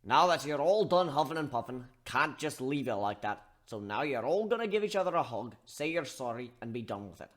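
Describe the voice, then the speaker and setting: A man's voice: medium pitch, very animated, brisk, few pauses, voice pushed up. Man putting on a blunt giant minotaur. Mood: blunt, bossy. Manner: folksy, blunt, bossy speech. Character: gruff, big-hearted giant male minotaur airship crewman.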